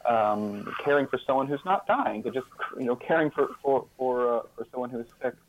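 A man speaking as an interview guest, explaining in a halting way, repeating words and stressing key terms. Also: medium pitch, slight background noise, poor audio. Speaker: interview guest, author and sociologist.

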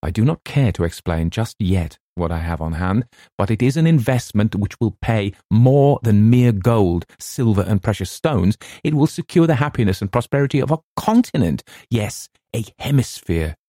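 A man reading aloud as a secretive, grandiose young gentleman who turns feverish. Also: medium pitch, animated, fairly quick, dry recording, studio quality, voice pushed up, close to an everyday voice. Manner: shifts from guarded to feverish outbursts.

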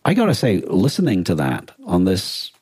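A man with a very low, noticeably rough-edged voice, recorded close and dry. He talks as a podcast co-host in casual discussion, feeling his way through thoughts and often rephrasing mid-sentence. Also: wildly swooping pitch, moderate pace, darkish tone, no echo. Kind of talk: casual discussion of a radio drama and war.

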